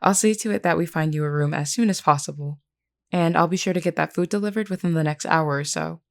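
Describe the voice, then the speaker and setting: A low-voiced vampire queen played by a woman, composed and solemn, with a gravelly fry in her tone. She speaks formally and earnestly, like a caring leader in an audio drama, reassuring and measured. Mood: calm, caring. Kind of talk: scripted character roleplay audio.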